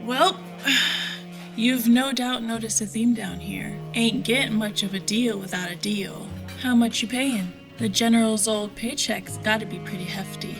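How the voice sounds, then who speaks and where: A woman's voice: fairly high pitch, measured pace, creaky. Audio drama role: actress as sly female mercenary pilot, blunt, slangy, weary of it all, music underneath. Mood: wry, dismissive. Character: tough, sly woman pilot and bounty hunter for hire.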